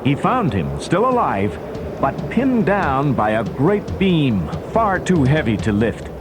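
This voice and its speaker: A man's voice: a little high, wildly swooping pitch, slow, background noise. A man narrating a children's story recording from a script in a dramatic storytelling style.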